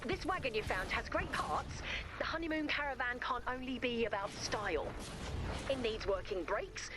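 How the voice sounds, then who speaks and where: A woman's voice: a little high, animated, normal speed, very noisy, close to an everyday voice. A woman playing a self-assured, breezy young builder and proud matchmaker in a video game. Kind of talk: animated video game voice acting.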